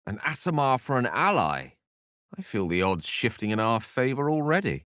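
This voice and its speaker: An actor playing an eloquent, courteous and wry wizard in a fantasy video game, with a British accent and articulate, theatrical phrasing. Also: male voice, medium pitch, sing-song, normal speed, fairly bright tone, clean tone, no echo, studio quality. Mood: grave, measured. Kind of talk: dramatic fantasy game dialogue.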